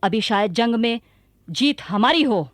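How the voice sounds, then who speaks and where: A woman's voice: a little high, animated, unhurried, no room sound, clean studio sound. A woman acting a bold, commanding young warrior sultana in a radio drama. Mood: determined.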